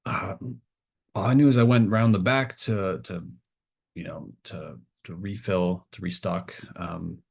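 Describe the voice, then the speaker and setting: A man's voice: deep voice, fairly even intonation, a little slow, creaky, husky, heavily acted. A man playing a stammering, apologetic small-town diner cook in an improvised tabletop roleplay. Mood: shaken, hesitant. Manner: stammering, hesitant, rambling.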